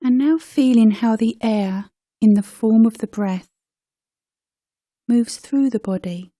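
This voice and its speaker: Slow, mellow-voiced woman guiding meditation. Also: a little high, dry recording, studio quality. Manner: gentle, unhurried, pausing between short phrases. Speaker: meditation teacher. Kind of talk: guided meditation narration.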